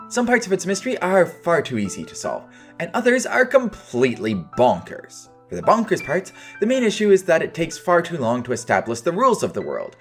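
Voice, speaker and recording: A man delivering a scripted video review in casual, opinionated phrasing with dry understatement. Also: on the high side.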